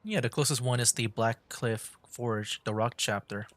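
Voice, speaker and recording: A man livestreaming a game with casual commentary, thinking aloud in a loose, halting way. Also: medium pitch, background noise. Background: game music.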